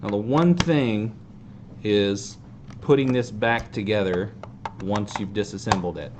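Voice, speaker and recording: A man in his thirties casually hosting a hands-on how-to demonstration in a deadpan, self-deprecating way. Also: fairly low pitch, measured pace. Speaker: host of a fan group's how-to video. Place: indoor tabletop video shoot. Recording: clear background noise.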